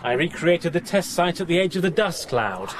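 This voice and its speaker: An actor playing a cold, calculating experimenter in a radio drama, with a smug, formal and precise way of talking. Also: male voice, on the high side, sing-song, moderate pace, noisy background. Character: cold, calculating male experimenter who toys with people.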